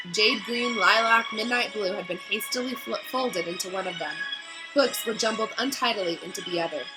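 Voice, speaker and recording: A woman narrating a storybook aloud in an expressive read-aloud style. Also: medium pitch, rough recording.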